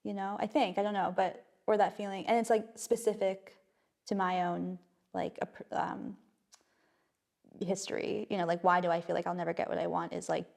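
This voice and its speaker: A woman with a soft, gently creaky voice talks through her thoughts slowly, circling back with fillers and restarts. She is a playwright guest on a podcast. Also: lowish voice, level tone. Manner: hesitant and reflective, many fillers and restarts. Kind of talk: casual podcast conversation.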